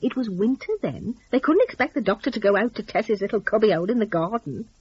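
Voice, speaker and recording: Animated woman telling a story, husky. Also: mid-range voice, fast, muffled recording. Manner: chatty, gossipy storytelling. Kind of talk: dramatic scripted story narration in folksy dialect.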